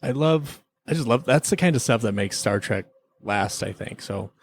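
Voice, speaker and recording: A man's voice: medium pitch, lively intonation, normal speed. A man chatting casually and enthusiastically as a podcast host, rambling like a devoted fan through an episode review.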